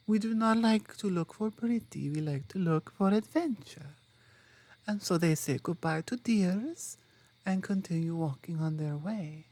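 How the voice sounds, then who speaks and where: A woman playing a loving mama bear in an ASMR bedtime roleplay, a soft low voice and gentle, patient, broken English. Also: dry recording, full character voice. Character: loving adult mama bear soothing cubs to sleep.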